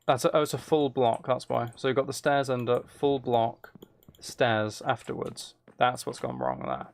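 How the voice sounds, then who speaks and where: A man's voice: medium pitch, lively intonation, moderate pace, creaky. A man giving casual gameplay commentary, thinking aloud and correcting himself as he chats to viewers. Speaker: streamer playing a building game.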